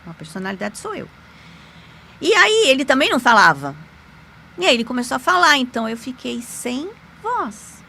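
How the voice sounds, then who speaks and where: Gravelly bright-voiced woman. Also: moderate pace. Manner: animated, emphatic, with sudden pitch jumps. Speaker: podcast guest, lawyer and magic teacher. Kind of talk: conversational storytelling.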